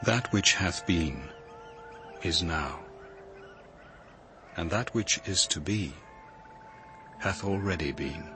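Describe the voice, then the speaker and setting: An actor playing a grave, reflective detective, narrating in a measured, literary way in a radio drama. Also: male voice, deep voice, over music. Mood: solemn. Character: grave, reflective male police detective recounting his evening.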